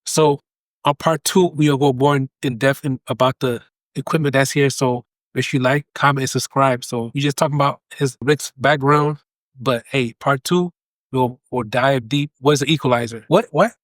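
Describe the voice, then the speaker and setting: A man's voice: medium pitch, fairly even intonation, normal speed, a bit bright, dry recording. Male podcast host, dry sound. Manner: informal, repeats short acknowledgements and questions. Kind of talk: casual interview chat.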